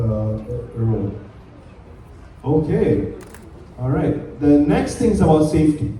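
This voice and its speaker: A man's voice: fairly high pitch, mellow. A man from Kenya speaking as a panelist at a community summit, earnest and emphatic, with many fillers and restarts. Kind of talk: public speech at a summit panel. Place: large conference hall. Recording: echoey hall, voice from far off.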